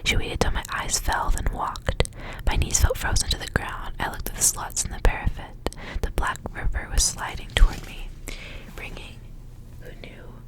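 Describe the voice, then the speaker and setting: Whispering young woman reading ASMR. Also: rough recording. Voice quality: breathy, unvoiced whisper. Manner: soft, intimate narration with casual asides. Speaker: ASMR reader.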